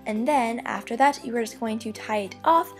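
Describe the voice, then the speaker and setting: A young woman talking through a hair tutorial in a casual, chatty way, her voice a little hoarse from losing it. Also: fairly high pitch, moderate pace, soft background music. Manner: chatty, upbeat, many "like" fillers. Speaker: beauty vlogger. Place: bedroom.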